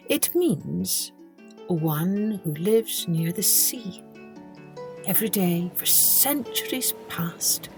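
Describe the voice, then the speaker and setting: A woman's voice: medium pitch, a little mellow. Woman narrating children's stories over music. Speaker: storytelling podcast host. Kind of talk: scripted children's story narration.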